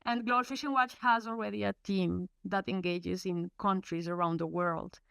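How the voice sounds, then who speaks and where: A woman's voice: medium pitch, fairly even intonation, normal speed, vocal fry, fairly bright tone, clear, resonant tone, no echo. A woman from Colombia, an English speaker, presenting in a webinar with many fillers and restarts. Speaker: research program presenter. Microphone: video call.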